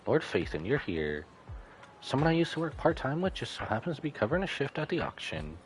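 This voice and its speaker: Man reading as a raised-pitch, earnest game heroine. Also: big pitch swings, fairly quick, lightly acted, low-quality recording. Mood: earnest, explaining. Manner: polite, earnest, explanatory. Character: polite, earnest young woman informant in a game. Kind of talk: reading game dialogue aloud in character. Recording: faint game music behind.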